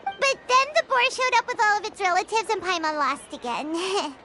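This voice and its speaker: A woman voicing a tiny, excitable fairy companion in a video game, chatty and boastful, with a squeaky childlike voice, speaking of herself in the third person. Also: moderate pace, very noisy. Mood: excited, boastful. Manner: refers to self by name in third person. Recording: clean studio voice over game music.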